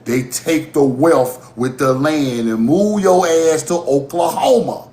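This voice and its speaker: A man holds forth on a livestream, his high voice climbing as he rants, swears and laughs at his own points. The sound bounces around an echoey room. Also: takes it slowly. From Mississippi. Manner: animated, profane, laughing rants. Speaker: livestream host. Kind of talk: heated livestream monologue.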